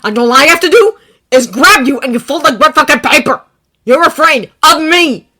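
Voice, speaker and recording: A woman's voice: medium pitch, animated, a little fast, voice pushed up. Woman doing a furious swearing teen boy. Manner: shouting, swearing, voice cracking. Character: furious teenage boy hero, explosive temper. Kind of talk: fan fiction reading.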